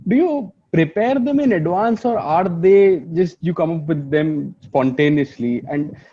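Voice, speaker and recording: A man's voice: fairly high pitch, a little slow, a little hiss or hum. A man co-hosting a podcast, asking a guest friendly, long questions. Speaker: podcast co-host. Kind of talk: interview questions. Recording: dull lo-fi podcast audio.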